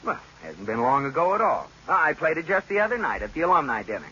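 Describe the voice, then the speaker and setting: An actor playing an excitable, easily exasperated husband with an emphatic, blustering manner in a 1940s radio sitcom. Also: male voice, fairly high pitch, quick pace, slightly rough, full character voice. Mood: grumbling. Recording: scratchy muffled old-time radio recording.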